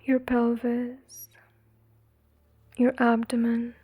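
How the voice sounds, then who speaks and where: A woman leading a guided meditation in a soothing, breathy, gentle way, leaving long pauses between phrases. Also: fairly high pitch, monotone. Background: faint music.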